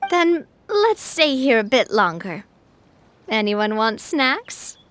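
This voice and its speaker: Cheerful young lady boss, high lively voice, gentle and hospitable, faint game music behind. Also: slow pace, slight background noise, exaggerated voice. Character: young noblewoman boss, warm and gracious. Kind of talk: video game voice acting.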